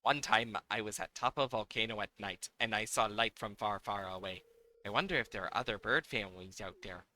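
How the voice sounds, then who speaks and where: A man voicing a lonely, wistful young island boy from a video game, speaking softly and haltingly in simple phrases. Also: on the low side, pitched below the performer's own voice. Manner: soft, halting, simple speech. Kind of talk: reading video game dialogue aloud.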